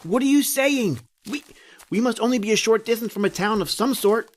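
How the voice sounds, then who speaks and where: An actor playing a distraught, disbelieving family man lost in the distant past, in a melodramatic, halting old-time radio horror style. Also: high voice, natural voice. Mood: agitated, demanding. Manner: melodramatic, halting, repetitive phrasing. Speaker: actor and first-person narrator in a horror radio play.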